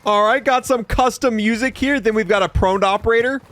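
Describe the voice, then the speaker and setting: A man's voice: very high voice, fairly quick, music in the background. A man hosting a gaming video with casual, playful gameplay commentary in an informal way.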